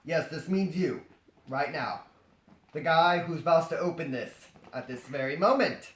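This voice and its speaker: A man's voice: a little high, normal speed, fairly bright tone. A man reading a story aloud as comedy, breaking off into jokey, dramatized ad-libbed asides. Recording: roomy echo, faint noise and clicks.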